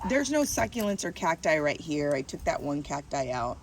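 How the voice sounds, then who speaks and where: A woman's voice: sits low, big pitch swings, moderate pace. A woman hosting a gardening vlog, chatting casually and narrating her hands-on work as she goes, in a rambling way. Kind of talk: casual chat while working. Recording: distant mic, noisy background.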